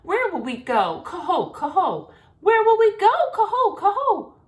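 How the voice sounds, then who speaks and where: A woman's voice: sits high, huge pitch swings, measured pace, a bit bright, pitched above the performer's own voice, heavily acted. A woman doing squealing little cygnets. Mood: excited. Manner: squealing, sing-song. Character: excited little cygnets, chattering kids.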